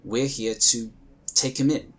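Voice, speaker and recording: A man voicing a calm, dutiful space agent. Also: mid-range voice, lightly acted. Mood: calmly insistent. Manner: measured, polite, firm. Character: calm, dutiful male psionic scion agent. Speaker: character in audio drama.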